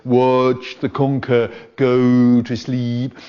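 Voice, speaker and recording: A man putting on a schoolboy hypnotist who chants slowly in a droning, eerie voice, on a dull lo-fi recording. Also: medium pitch, monotone, clear, resonant tone. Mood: spooky. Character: boy playing hypnotist, slow spooky chant.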